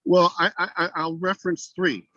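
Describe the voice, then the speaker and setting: A man speaking formally and deliberately as a poetry reader, heard over a video call. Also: sits high, level tone, bright, forceful tone, no room sound. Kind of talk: measured poem recitation, then thoughtful comment. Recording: dull, lo-fi call audio.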